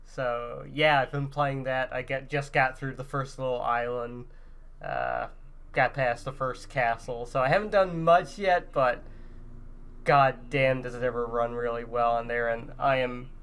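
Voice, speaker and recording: A man's voice: medium pitch, fairly even intonation, measured pace, a bit bright, clear, resonant tone. A man co-hosting a gaming podcast in casual, now and then profane chat.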